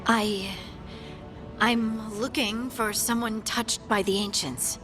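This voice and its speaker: A woman's voice: on the high side, steady tone, takes it slowly. A woman voicing an earnest, hopeful young dwarf scout in a video game, polite and a little tentative. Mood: hopeful, curious. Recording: music behind the voice. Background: game soundtrack music.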